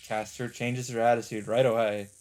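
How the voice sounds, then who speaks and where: A man's voice: medium pitch, little pitch movement, normal speed, a little mellow. A man hosting a let's play, reading visual novel narration dramatically and adding his own casual asides. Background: background music.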